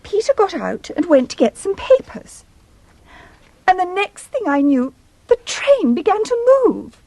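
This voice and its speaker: An actress playing a distressed, indignant young English wife, speaking with well-bred, plaintive drama in a radio farce. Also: female voice, very high-pitched, big pitch swings, a little slow, darkish tone, no echo, full character voice, poor audio. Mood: aggrieved, dramatic. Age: young adult. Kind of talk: stage farce acting for radio.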